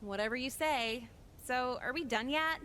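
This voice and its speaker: A woman playing a sarcastic, jaded teenage high school girl in a visual novel, with a deadpan, dismissive delivery. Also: moderate pace, a little hiss or hum. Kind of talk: scripted visual novel voice acting.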